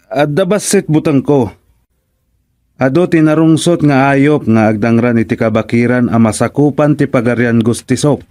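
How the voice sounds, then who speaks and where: A man's voice: medium pitch, moderate pace, darkish tone, natural voice. A man playing a timid, deferential soldier in a radio comedy drama, hesitant and respectful in his speech. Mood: fearful.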